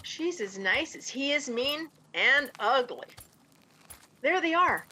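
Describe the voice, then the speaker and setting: A student actress playing a folksy, excitable, gossipy marshal's sidekick in an amateur western radio play. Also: female voice, high voice, takes it slowly, slight background noise, exaggerated voice, poor audio. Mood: indignant.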